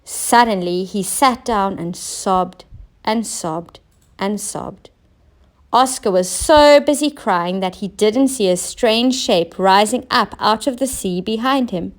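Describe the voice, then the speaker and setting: A woman narrating a children's storybook in an expressive, deliberate way, with emphasis on key words. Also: mid-range voice. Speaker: teacher reading stories aloud.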